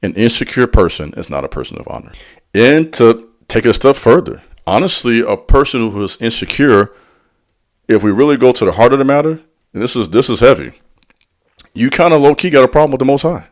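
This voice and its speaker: A man's voice: medium pitch, animated, a little fast. A man talking straight to his audience in a preacherly rhythm. His delivery is slangy and direct. He sprinkles in call-and-response asides as he teaches on spiritual matters. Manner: slangy and direct, addressing the listener. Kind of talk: motivational spiritual talk.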